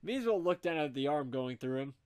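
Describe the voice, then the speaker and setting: A man narrating fan fiction in an amateur comedic group reading, animated and dropping wry asides. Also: fairly high pitch, fairly quick, smooth.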